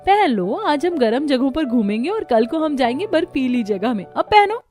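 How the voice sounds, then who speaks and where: A woman's voice: high-pitched, sing-song, moderate pace, darkish tone, soft background music. A woman voicing a rich, bold and crafty young sister-in-law in a cartoon, with an assertive, brash manner. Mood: coaxing.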